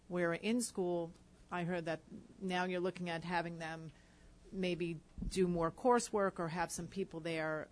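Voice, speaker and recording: A woman's voice: fairly low pitch, slight background noise. A woman on the board asking a question at a public meeting, polite and hesitant with fillers.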